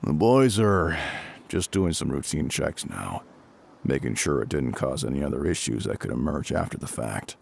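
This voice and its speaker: A gruff fire captain, acted by a man with an extremely low, husky voice. His delivery rises and falls freely while staying calm and reassuring, as if speaking directly to one listener in an audio roleplay. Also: heavily acted. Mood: matter-of-fact, reassuring. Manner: measured, matter-of-fact, reassuring. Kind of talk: intimate scripted audio roleplay spoken to the listener.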